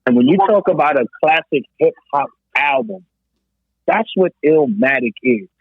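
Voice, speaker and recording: Husky man on a tinny phone-like line. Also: fairly high pitch, sing-song, normal speed, no room sound. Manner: emphatic, repeats phrases for emphasis. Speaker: podcast host. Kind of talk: casual hip-hop debate.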